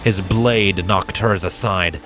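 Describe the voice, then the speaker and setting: A man reading a dramatic fantasy story as a scripted narrator, measured and deliberate. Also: deep voice, steady tone, over music. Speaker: fan fiction narrator.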